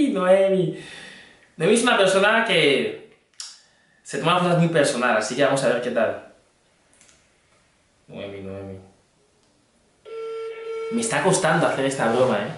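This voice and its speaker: A man's voice: fairly high pitch, big pitch swings, a little slow, pauses often. A young adult man chatting casually on prank phone calls as a fitness trainer. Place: indoor green screen studio. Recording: very echoey room, distant mic.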